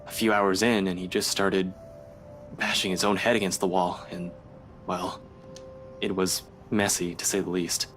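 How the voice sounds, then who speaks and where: A man's voice: sits low, level tone, normal speed, long pauses, a bit bright, faint music behind. An actor playing a blunt, matter-of-fact male police officer. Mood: grim.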